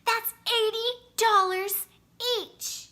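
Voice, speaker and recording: Woman as storybook little girl: eager, chirpy, very bright and high. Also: steady tone, drawn-out words, heavily acted. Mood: excited. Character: eager, chirpy young girl, a good friend. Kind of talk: children's storybook character voice.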